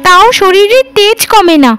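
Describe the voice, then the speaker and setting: Woman playing haughty village rich woman, cartoon dub, very high pitch, scornful, berating, background music. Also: unhurried, fairly bright tone. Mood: boastful scorn. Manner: sneering, berating. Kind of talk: Bengali cartoon dubbing.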